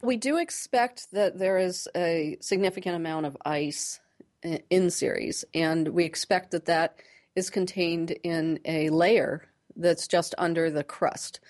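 Measured woman explaining science, lowish voice. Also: darkish tone. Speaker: planetary scientist, interview guest. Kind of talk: radio interview, explaining science plainly.

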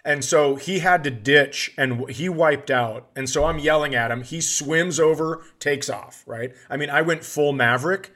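A middle-aged man hosting a comedy podcast, telling stories casually with swearing and animated outbursts. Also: on the high side, moderate pace, few pauses. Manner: profane, animated when acting out his outbursts.

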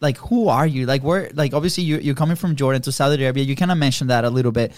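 A man's voice: mid-range voice, racing, no room sound. A man co-hosting a live podcast in a casual, effusive way, often repeating words and phrases. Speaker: podcast co-host.

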